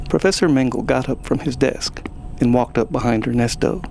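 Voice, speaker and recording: Creaky-voiced male story narrator. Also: mid-range voice, moderate pace, a little mellow, slight background noise.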